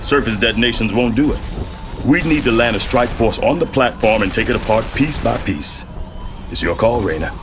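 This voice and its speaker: An actor playing a gruff, battle-worn older American general in a video game, with blunt folksy military delivery. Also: male voice, mid-range voice, lively intonation, moderate pace, close to an everyday voice. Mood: grave briefing. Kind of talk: dramatic video game acting. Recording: dramatic music behind.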